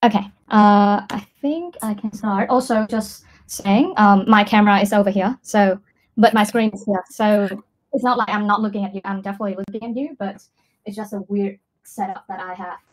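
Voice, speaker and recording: A woman at a middle pitch. She answers in a casual, conversational way, pausing and thinking aloud as a learner in an online class. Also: low-quality recording. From Vietnam. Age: 20s. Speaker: English student, data scientist.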